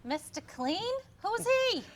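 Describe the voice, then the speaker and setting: Woman acting high, animated, wry housewife. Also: slow pace, heavily acted. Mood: wry, teasing. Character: wry, deadpan-joking housewife, exasperated daughter. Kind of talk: staged reading of a comic play.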